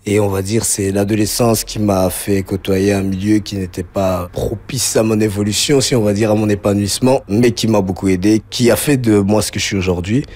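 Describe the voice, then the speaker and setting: A man from Belgium gives a spontaneous personal testimony, reflective and halting, repeating words as he searches for them. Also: fairly low pitch, fairly even intonation, darkish tone. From Belgium, grew up around Liège. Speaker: interview subject telling his life story. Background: music under the voice.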